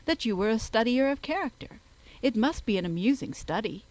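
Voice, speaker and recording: A woman voicing an amiable, impulsive young gentleman with an eager manner in a period novel audiobook. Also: fairly high pitch, animated, a little fast, natural voice, rough recording. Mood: agreeable. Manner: eager, good-natured.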